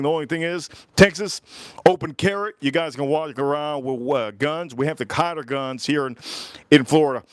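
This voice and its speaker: Animated man hosting a livestream. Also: high-pitched, no room sound. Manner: animated, shouting out viewers' locations. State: getting sick. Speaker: livestream host. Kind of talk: casual livestream chat. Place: in Florida.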